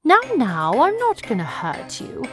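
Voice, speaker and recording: A woman's voice: medium pitch, huge pitch swings, unhurried, fairly bright tone. A woman voicing a smug, taunting villainess with a short temper in an anime-style audio drama, theatrical and mocking. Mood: smug, teasing. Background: music.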